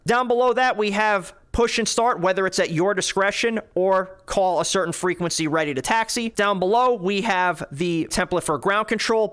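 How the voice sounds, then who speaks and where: A man presenting an instructional tutorial, explaining things clearly step by step. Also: high-pitched, a bit bright. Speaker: flight simulation tutorial presenter.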